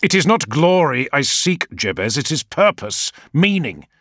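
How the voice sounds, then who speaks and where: A proud war leader who commands rather than asks, his voice pushed high and loud with passion, as a narrator puts him on. He speaks in a bright, dry, close sound for an audiobook. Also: big pitch swings, measured pace, no room sound, exaggerated voice. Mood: weary. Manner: forceful, raised, impassioned delivery.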